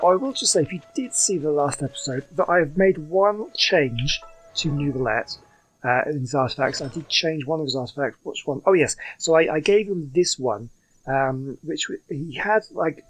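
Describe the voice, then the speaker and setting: A man's voice: mid-range voice, poor audio. A man hosting a gaming video with casual, rambling, hesitant commentary.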